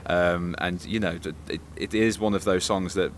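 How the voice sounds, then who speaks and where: A man giving a casual spoken review in a measured, hesitant, diplomatic way as a co-presenter. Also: sits low, steady tone. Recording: faint background ambience noise.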